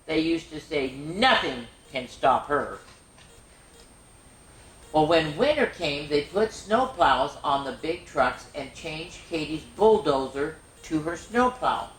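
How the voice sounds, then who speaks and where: A woman's voice: low voice, slow pace. Woman reading to children in an echoey room. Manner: animated, repeats phrases for emphasis, exclaims. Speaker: storyteller, host of children's story time. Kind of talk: reading picture books aloud to children with chatty asides. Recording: roomy, echoing room sound.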